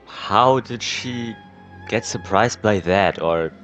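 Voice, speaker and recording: A man's voice: on the low side, slow, a bit bright, soft background music. A man casually commenting on a game playthrough, wry and laughing, with blunt, profane asides. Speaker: gameplay commentator. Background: game audio.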